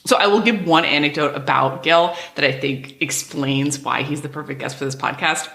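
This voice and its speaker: A woman's voice: low-pitched, normal speed, a bit bright. A woman in her thirties hosting a podcast, talking animatedly and often laughing. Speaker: podcast host. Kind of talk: casual podcast chat. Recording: echoey room.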